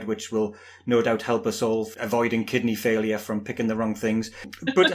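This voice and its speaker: Playful northern English man hosting. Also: medium pitch. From northern England. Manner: friendly, playful questions. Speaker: podcast host. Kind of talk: casual chat.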